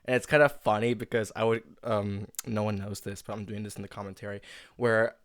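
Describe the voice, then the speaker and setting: Male interview host, smooth clean voice, informal and repetitive phrasing, close dry sound. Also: on the low side, fairly even intonation, brisk, no echo. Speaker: host interviewing a collaborator, writer of an audio drama. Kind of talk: casual creator commentary interview.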